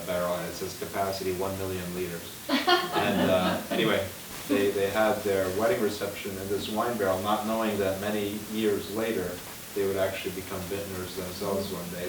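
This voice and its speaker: A man who makes wine tells a rambling, good-humored story on a panel, often restarting his sentences. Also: fairly low pitch. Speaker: winemaker on a panel. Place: event room. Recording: echoey room, distant mic, hiss.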